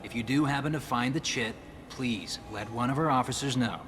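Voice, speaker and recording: A man's voice: mid-range voice, brisk, noisy background, close to an everyday voice. An actor playing an officious space-station policeman in a video game, procedural and placating. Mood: official.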